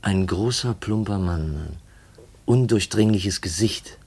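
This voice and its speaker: An earnest young gentleman in a fifties German radio drama. His voice sits fairly low, and he speaks with a brooding, searching intensity and thoughtful pauses. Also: breathy edge. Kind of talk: 1950s German radio play acting.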